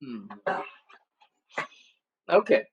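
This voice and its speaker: A man's voice: very slow, highs cut off. A man moderating an online panel discussion over a video call, speaking emphatically and repeating his points.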